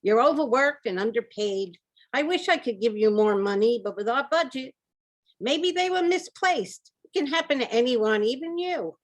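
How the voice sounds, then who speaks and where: A woman's voice: a little high, expressive, normal speed. A woman playing a placating, skeptical senior-center director in an amateur radio play. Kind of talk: amateur old-time radio theater acting.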